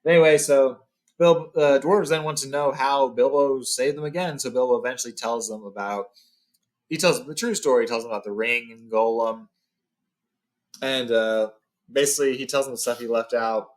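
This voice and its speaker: A man with a medium-pitched voice hosts a book read-along. He moves between reading a fantasy tale as narrator and recapping it informally in his own words.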